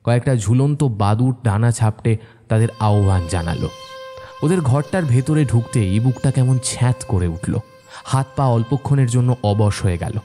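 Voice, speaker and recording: Mellow male horror story narrator. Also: mid-range voice. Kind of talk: scripted dramatic narration. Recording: soft music bed behind narration.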